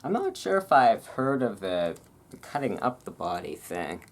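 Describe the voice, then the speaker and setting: A person reading aloud in a relaxed way with casual, rambling asides, halting and self-deprecating about it. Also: mid-range voice. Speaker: reader and host. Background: thunderstorm ambience.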